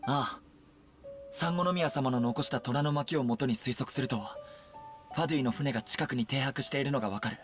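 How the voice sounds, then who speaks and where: Man acting brisk, earnest young army captain. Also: mid-range voice, lightly acted. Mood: serious, deliberating. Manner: crisp, military, formal recitation and briefing. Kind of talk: Japanese anime game voice acting. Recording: game dialogue over background music.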